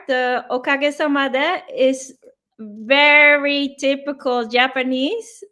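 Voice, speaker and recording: High-voiced Dutch woman explaining patiently. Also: a bit bright. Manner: explains patiently with many fillers. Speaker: guest. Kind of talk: casual interview conversation.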